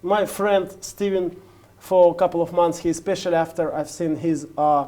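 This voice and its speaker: Russian guy hosting in english, kinda high warm voice, lots of restarts. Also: level tone. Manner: halting, restarts, casual. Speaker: host. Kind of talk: interview questions.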